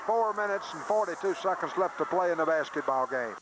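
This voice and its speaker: A man doing live radio play-by-play of a high school basketball game in clipped running phrases that grow excited on big plays, on old noisy tape audio. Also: fairly quick. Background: loud gym noise.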